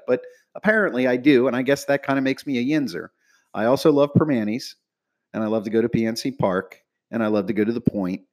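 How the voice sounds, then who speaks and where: A man with a Pittsburgh accent hosts his podcast in an upbeat promo, his pitch bouncing widely up and down. He repeats himself like a salesman and leans hard into every plug. Mid-pitched, energetic and eager. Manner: enthusiastic, repetitive, salesy. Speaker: podcast host.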